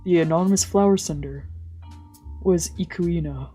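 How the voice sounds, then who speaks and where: A woman's voice: on the low side, normal speed, dark tone. A woman reading a visual novel aloud as a streamer, shifting between narration and casual asides, with game music behind her. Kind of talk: dramatic let's play read-aloud with casual asides.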